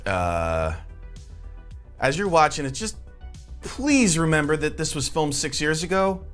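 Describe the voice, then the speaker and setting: A man's voice: fairly high pitch, very animated, measured pace, fairly bright tone, faint music behind. A man hosting a satirical comedy video with mock-sincere, ironic, exaggerated enthusiasm. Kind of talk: satirical sketch presenting.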